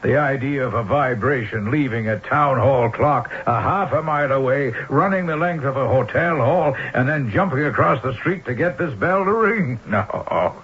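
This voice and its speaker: Husky, persistent police inspector. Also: male voice, medium pitch, lively intonation, normal speed, few pauses. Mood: friendly, skeptical. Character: persistent, uneasy male police inspector on a case. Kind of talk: old-time radio drama acting. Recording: dull lo-fi vintage radio broadcast.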